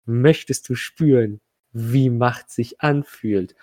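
A man's voice: mid-range voice, slow pace, dark tone. A man voicing a playful, menacing shadow creature in a tabletop game, mocking and teasing his prey. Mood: menacing temptation.

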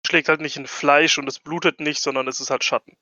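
Bright, higher-pitched man, slightly dull audio. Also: little pitch movement, fairly quick, no echo. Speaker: tabletop game player. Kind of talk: casual game-table chat.

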